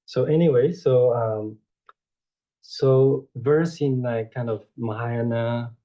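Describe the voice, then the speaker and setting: A man gives an informal dharma lecture over a video call, unhurried and rambling, with frequent "you know" and self-corrections. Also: mid-range voice, mellow. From Taiwan. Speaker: Buddhist teacher giving a talk. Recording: dull lo-fi online audio.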